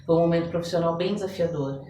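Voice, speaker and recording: A woman's voice: fairly low pitch, fast, background noise. A Brazilian woman with a São Paulo accent, speaking reflectively as a podcast guest and repeating words for emphasis in a conversational interview. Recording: roomy echo, distant microphone.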